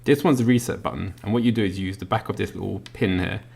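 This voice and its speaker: A man with a deep voice walks through a product unboxing in a loose, explanatory way. His voice has a rough, gravelly edge, and he fills his pauses with ums and uhs while he fiddles with the device. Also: a little mellow. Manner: demonstrating a gadget while talking. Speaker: product reviewer. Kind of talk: unboxing walkthrough.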